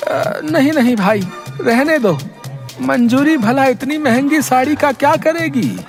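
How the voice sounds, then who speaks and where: Casting: stingy, fussy rich man of the village for an animated moral tale acted, high voice, dragging haggler's complaints, light music underneath. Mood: grumbling, dismissive. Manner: haggling, drawn-out protesting. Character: stingy, fussy village merchant man, grumbling over every price. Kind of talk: animated moral-story cartoon acting.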